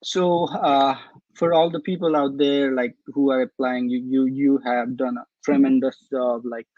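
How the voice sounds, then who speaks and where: A man's voice: mid-range voice, fairly even intonation, unhurried, a little mellow, dry recording, highs cut off. A man, speaking as a doctor on a webinar panel, giving earnest advice and often repeating words as he searches for them. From Nepal. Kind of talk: casual advice talk.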